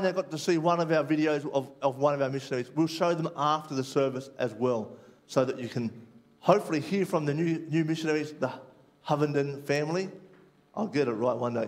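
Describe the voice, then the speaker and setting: Chatty man speaking from a church stage. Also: fairly high pitch. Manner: friendly, chatty, self-deprecating. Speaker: pastor. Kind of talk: church welcome and sermon opening. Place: large church hall, indoors.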